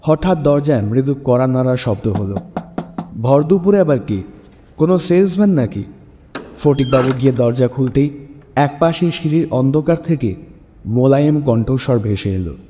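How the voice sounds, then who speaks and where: A male story narrator with a very dark, mellow tone at a medium pitch. He reads scripted dramatic prose as the host. His voice carries a long reverb over a music bed. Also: lively intonation, moderate pace, a little hiss or hum.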